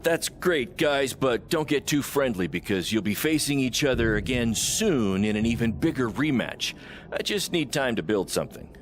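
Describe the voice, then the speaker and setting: A man's voice: medium pitch, very animated, normal speed, slight background noise, lightly acted. An actor playing a self-assured, flippant wrestling promoter with a glib salesman's patter in a video game cutscene. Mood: upbeat, pitching. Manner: glib, upbeat salesman-like delivery. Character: fast-talking, self-assured small-time male wrestling promoter, cheerfully flippant.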